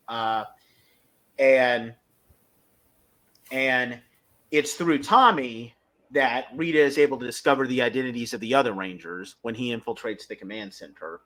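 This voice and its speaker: Bright, forceful man recapping for a podcast. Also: medium pitch. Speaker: podcast co-host. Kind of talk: casual review recap.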